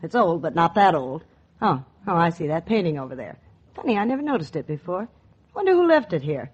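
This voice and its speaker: Brusque, lively gallery owner, old radio. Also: female voice, lowish voice, a little fast, husky. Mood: wisecracking. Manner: curt, no-nonsense, wisecracking. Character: brusque, tough-talking bohemian woman gallery owner, shrewd. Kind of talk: 1940s radio noir acting. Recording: muffled, hissy 1940s radio recording.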